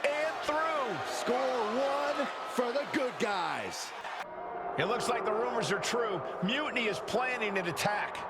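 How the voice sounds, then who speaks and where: A man playing an excitable pro wrestling announcer, calling the action play-by-play in a video game. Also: extremely high pitch, slow, a loud crowd, heavily acted. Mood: animated, concerned. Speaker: wrestling commentator. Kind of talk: excited play-by-play sports commentary. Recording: loud arena noise behind. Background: wrestling arena ambience.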